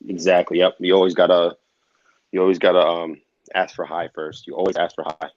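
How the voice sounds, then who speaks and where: Low, fast-talking man, dull audio. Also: little pitch movement, darkish tone, no echo. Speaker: interview guest in the trucking business. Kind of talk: casual chat, giving advice.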